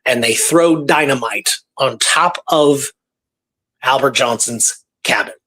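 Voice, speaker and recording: A man hosting a talk show, retelling a true story in a casual, animated way with repeated phrases and pauses for drama. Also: mid-range voice, breathy edge, rough recording. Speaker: podcast host telling a true historical story.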